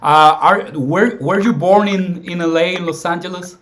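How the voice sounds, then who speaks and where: A man's voice: sits high, fairly bright tone, a little hiss or hum. A man hosting a casual interview, often restarting and repeating words as he frames his questions. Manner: halting, repeats words while framing questions. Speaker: interview host.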